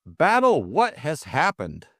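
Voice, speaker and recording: A man's voice: a little high, wildly swooping pitch, extremely slow, a bit bright, no room sound, clean studio sound, pitched above the performer's own voice, exaggerated voice. A man voicing a pompous, easily outraged official in an audiobook, blustering and stammering. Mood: spluttering indignation. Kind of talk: audiobook character acting.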